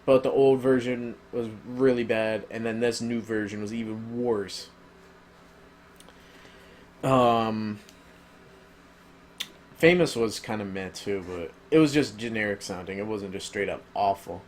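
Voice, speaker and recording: A man's voice: on the low side, steady tone, a little slow, long pauses, slight background noise. Rambling man losing his voice. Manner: rambling, filler-heavy, opinionated. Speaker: album reviewer. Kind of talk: casual spoken review.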